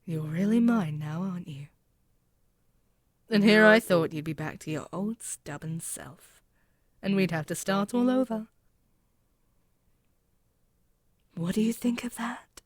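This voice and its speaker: An imperious demon queen, acted by a woman for an audio roleplay, speaks slowly and close, with a dry, roomless sound. She is haughty and possessive, musing with pauses as if toying with the one listener before her. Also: on the low side, no room sound. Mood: commanding, triumphant. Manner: haughty, deliberate, musing, with pauses and murmured asides. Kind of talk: scripted ASMR audio roleplay, spoken intimately to the listener.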